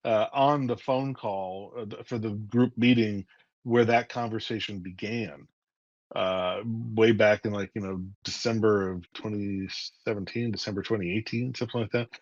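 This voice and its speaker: A man's voice: fairly low pitch, steady tone, moderate pace, no room sound. Male guest writer, wry and thoughtful storyteller; dull, muffled call audio. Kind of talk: conversational interview.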